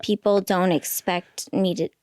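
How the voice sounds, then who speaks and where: A woman's voice: medium pitch, steady tone, unhurried, a little mellow, dry recording, studio quality. A woman in her thirties, a stand-up comic and pregnant guest, chatting casually with a small, light voice, laughing often and trailing into fillers. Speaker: guest comedian. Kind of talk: casual podcast chat.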